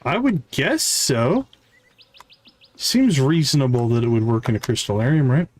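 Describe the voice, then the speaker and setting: A man's voice: medium pitch, expressive, normal speed. A man live streaming a video game, giving casual commentary as he thinks aloud and chats to viewers.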